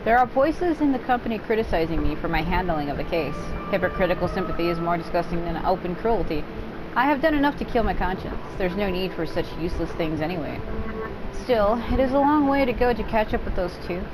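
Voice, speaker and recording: A woman's voice: fairly low pitch, animated, a little fast, over music, rough recording. A woman reading game story text aloud, stumbling over words now and then and adding her own asides. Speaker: gaming YouTuber.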